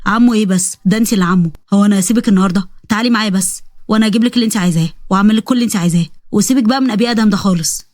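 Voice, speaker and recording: A woman's voice: medium pitch, racing, a little mellow, no room sound, close to an everyday voice. A woman with an Egyptian accent voicing a brash, leering young man who talks in a mocking, arrogant way.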